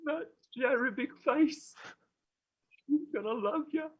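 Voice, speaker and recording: Thin, phone-like audio carries a woman acting a rough-spoken young friend in a stage drama. Her voice is fairly high and husky, soft with tender grief. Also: slow, exaggerated voice. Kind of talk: dramatized stage play reading. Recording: thin, phone-like microphone sound.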